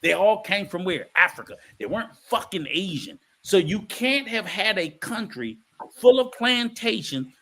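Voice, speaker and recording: Animated, outspoken high-voiced man. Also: normal speed. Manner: blunt, animated, swears freely. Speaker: podcast co-host. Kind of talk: heated casual discussion.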